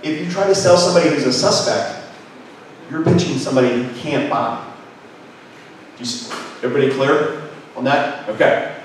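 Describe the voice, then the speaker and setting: A man's voice: medium pitch, moderate pace, long pauses. Man giving an echoey live seminar talk. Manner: energetic, gestures, asks the room questions. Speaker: business speaker. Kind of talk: live workshop talk to an audience. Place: conference room with projector screen. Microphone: handheld mic. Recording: echoey room from far off, faint noise.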